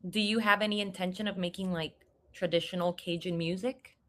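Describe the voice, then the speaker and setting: A woman's voice: fairly low pitch, fairly even intonation, a little fast. A woman from Puerto Rico hosting a podcast, chatting casually and reacting eagerly, sometimes losing her train of thought. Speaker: podcast host. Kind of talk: casual interview chat.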